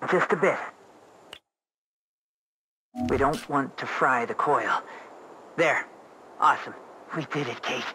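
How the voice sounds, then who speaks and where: A man's voice: mid-range voice, moderate pace, sharp tone, slight background noise, lightly acted. An actor playing an encouraging male colleague in a video game, giving informal, instructive guidance during lab work. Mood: encouraging, pleased. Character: encouraging male colleague guiding an electrical experiment.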